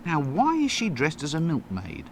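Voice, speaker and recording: An actor playing a bumbling, cowardly, wisecracking wizard in an adventure game, with a British accent and exasperated, sarcastic delivery. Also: male voice, a little high, wildly swooping pitch, moderate pace, slight background noise. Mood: wry. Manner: wry, sarcastic asides. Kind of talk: point-and-click adventure game voice acting. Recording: game music behind the voice.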